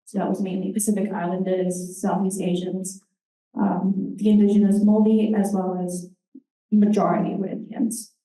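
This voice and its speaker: Young New woman, earnest halting presenter, dark mellow tone, heard from afar in a roomy hall. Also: mid-range voice, level tone. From New Zealand. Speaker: intern presenter. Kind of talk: conference presentation. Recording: roomy hall, distant mic.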